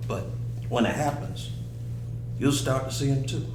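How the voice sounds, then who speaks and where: A man's voice: medium pitch, unhurried, lightly acted. Man playing an eccentric spirit-hearing uncle, folksy, rambling, insistent, film table read, noisy roomy recording with hum. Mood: earnest, mystical.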